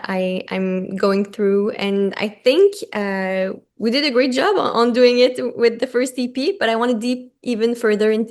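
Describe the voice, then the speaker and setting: A woman whose first language is French, a singer answering interview questions in a hesitant way, with many ums and restarts. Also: medium pitch. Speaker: singer-songwriter guest.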